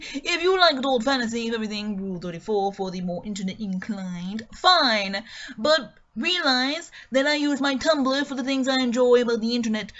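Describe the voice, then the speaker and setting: A woman performs a dramatic reading of an online review. Her voice sits fairly high and her delivery is expressive and theatrical. The recording is a little dull and lo-fi. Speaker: dramatic reader.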